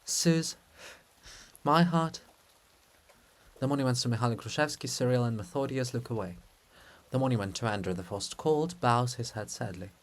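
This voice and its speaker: A man's voice: medium pitch, fairly quick. A man reading a drama aloud, narrating and giving casual asides. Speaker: reader.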